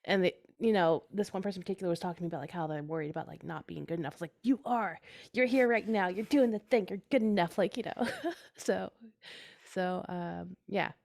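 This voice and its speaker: A woman's voice: fairly low pitch, quick pace, vocal fry, a little mellow. A woman chatting casually in a panel discussion, recounting an event with lots of filler words. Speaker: panel guest.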